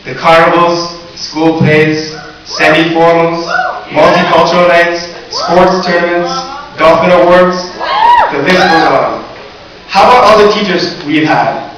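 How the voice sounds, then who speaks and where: A man's voice: fairly high pitch, steady tone, unhurried, a crowd in the background. A teenage man delivering a graduation speech as valedictorian, earnest with a joking touch. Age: teens. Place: large echoey hall or gym. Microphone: recorded from far off in the audience. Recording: distant echoey hall recording with hiss.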